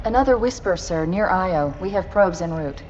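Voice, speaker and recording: A woman playing a poised, playful female military ship AI, crisp and efficient, in a game cinematic. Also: on the low side, expressive, moderate pace, a little mellow, long reverb tail, dull audio, a little hiss or hum, natural voice.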